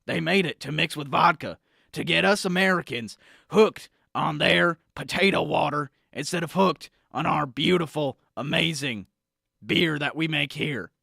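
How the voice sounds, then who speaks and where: A man acting out a brash conspiracy-obsessed correspondent on a comedy radio show, shouting his theories in a loud, pushy rant. The voice sits a little high, with a gravelly, sharp tone and a dry, close sound. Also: measured pace, no room sound, heavily acted. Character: brash male conspiracy-ranting news correspondent and supplement salesman. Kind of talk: improvised radio comedy bit.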